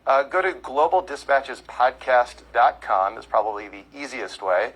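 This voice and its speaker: A man who hosts a podcast, guesting on a call-in show and answering callers in a measured, explanatory way with frequent fillers. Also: mid-range voice, a bit bright, breathy edge, rough recording.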